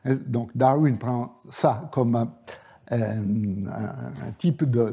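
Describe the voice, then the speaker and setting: A male professor whose first language is Italian, giving a university lecture at a measured pace with frequent hesitations and fillers. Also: medium pitch, dark tone, slightly rough. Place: lecture hall.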